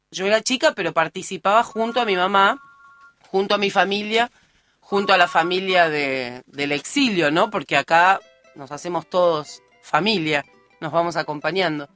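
Woman, early forties, Rioplatense Spanish accent, bright voice, conversational radio guest, digressive, faint background music. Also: lowish voice. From born in Uruguay, raised in Argentina. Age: early 40s. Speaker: interview guest, political candidate. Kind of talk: casual conversational interview. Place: radio studio.

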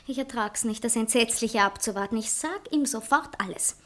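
Schauspielerin als aufgewühlte junge Ehefrau, hohe Stimme. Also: female voice, on the high side, moderate pace, a bit bright, lightly acted. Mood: frightened, urgent. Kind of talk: 1960s German radio play acting.

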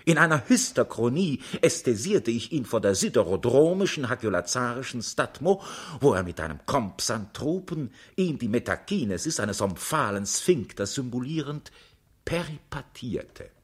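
A man's voice: medium pitch, very animated, moderate pace, breathy edge. A man reading in a radio play, pompously articulating learned jargon as a literary recital.

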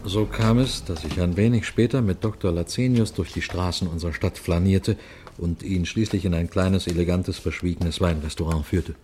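An actor playing a sober, watchful police detective, telling his case in a measured, formal radio crime drama style. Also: low-pitched, fairly even intonation, a little mellow, slight background noise, close to an everyday voice. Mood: tense, observant. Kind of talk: radio crime drama, suspenseful first-person narration and dialogue.

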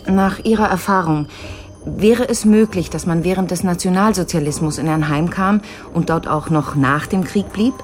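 Woman acting insistent relative after answers, quite low female voice, earnest, natural radio drama, bit of music. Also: moderate pace, darkish tone, close to an everyday voice. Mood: questioning.

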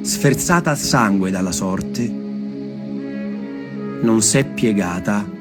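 A man telling stories and reading texts aloud as a theatre performer, expressive and deliberate in his delivery. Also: on the low side. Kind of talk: storytelling with dramatic readings. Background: music.

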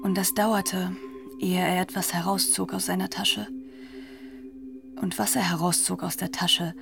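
An actress playing a grave, haunted narrator in a literary radio drama, telling the story in a measured, deliberate way. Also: female voice, on the low side, gravelly. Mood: haunted, solemn. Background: music.

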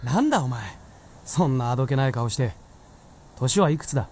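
A man acting as a stern but soft-hearted talking fox spirit in a radio drama, with a blunt, commanding way of speaking. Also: mid-range voice, expressive, a little fast, slight background noise, natural voice. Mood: questioning.

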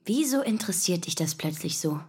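A woman's voice: lowish voice, lively intonation, fairly quick, darkish tone, slight background noise, natural voice. An actress playing a defiant, guarded young female activist in a radio drama, sardonic and curt. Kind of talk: German radio drama acting.

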